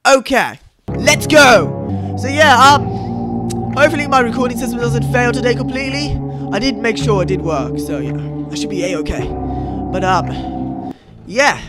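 Young man, extremely high voice, excitable game commentator, music behind. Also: unhurried, pauses often. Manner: excitable, exclaiming, repeats words when panicked. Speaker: gaming video host. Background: game music and sounds.

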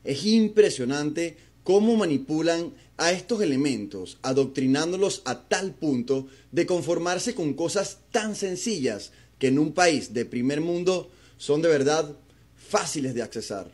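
A man's voice: on the high side, animated, unhurried, a little mellow. A man commenting on clips in a scornful, emphatic way. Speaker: clip commentator. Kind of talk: opinion commentary.

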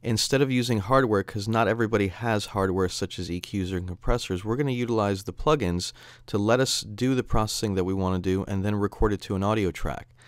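A man narrating a software tutorial as an instructor, explaining in a conversational way. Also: fairly low pitch, fairly quick, few pauses, dry recording, clean studio sound. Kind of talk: tutorial narration over screen demo.

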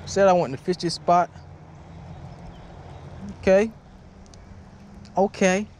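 A man's voice: high voice, steady tone, slow, darkish tone, a little hiss or hum. A young man talking casually and in slang as he narrates his own fishing vlog. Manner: slangy, informal. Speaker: fishing vlogger. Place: outdoors in a small boat on a lake. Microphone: body-worn action camera.

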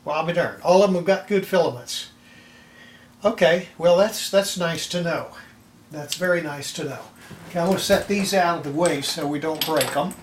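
Older man, higher-pitched, echoey workshop. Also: normal speed. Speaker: hobbyist restorer presenting his repair video. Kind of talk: casual unscripted workbench demonstration. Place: indoor home electronics workshop. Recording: roomy echo, clattering tool and handling noise.

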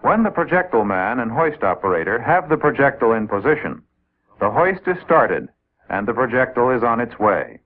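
American guy doing 1950s navy training film voiceover, medium pitch, step by step explaining, old tinny radio-like sound. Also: big pitch swings, brisk. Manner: clear, methodical, explanatory, addressing trainees directly. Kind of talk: scripted 1950s military instructional film narration.

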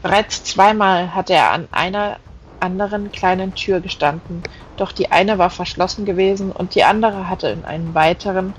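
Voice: mid-range voice, lo-fi sound, slight background noise. A reader narrating a fantasy novel aloud as a scripted audiobook.

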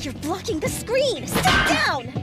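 Bossy young anime game heroine: high, swooping, dramatic scolding over loud music. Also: female voice, big pitch swings, a little slow, full character voice. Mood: scolding, exasperated.

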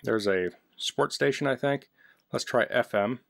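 A man's voice: medium pitch, unhurried, vocal fry, background noise. Man giving a casual, practical product demo. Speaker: product reviewer. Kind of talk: casual hands-on product demonstration. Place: basement, indoors.

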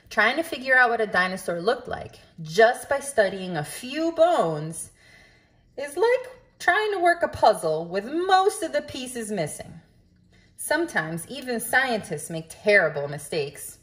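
A woman reading a children's book aloud in a deliberate, expressive way, as if speaking directly to young listeners. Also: medium pitch. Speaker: storybook reader. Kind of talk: children's read-aloud narration.

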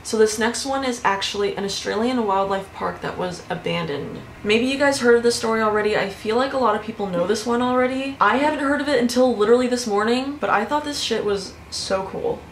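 A woman's voice: a little high, a little fast, few pauses, roomy sound, a little hiss or hum, poor audio. Chatty young woman vlogging from her bedroom. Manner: chatty, animated, swears, laughs often. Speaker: YouTuber. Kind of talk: casual vlog storytelling. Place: bedroom with fairy lights.

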